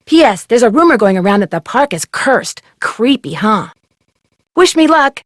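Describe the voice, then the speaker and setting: A woman's voice: fairly high pitch, sing-song, normal speed, no room sound, clean studio sound. A woman voicing a young female amateur detective in a game, polite, curious and earnest. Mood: eager, confiding. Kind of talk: scripted game dialogue and narration.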